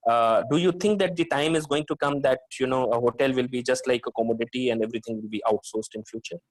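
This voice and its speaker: A man hosts a panel discussion over a video call, at a middle pitch. He builds long, meandering questions, pausing often on fillers as he searches for words. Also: poor audio. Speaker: webinar moderator.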